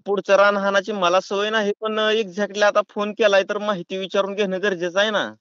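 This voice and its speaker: A man's voice: high voice, little pitch movement, moderate pace, fairly bright tone, dry recording. A man with a rural Maharashtrian accent talking in a pushy, folksy, argumentative way on a prank phone call. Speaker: prank caller. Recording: dull, lo-fi phone call recording.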